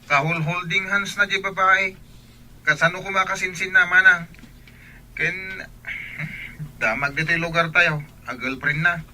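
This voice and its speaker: Bright, higher-voiced young Ilocano brother. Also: male voice, fairly even intonation, a little slow, long pauses, a little hiss or hum, exaggerated voice, rough recording. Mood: earnest, concerned. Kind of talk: Filipino radio drama acting.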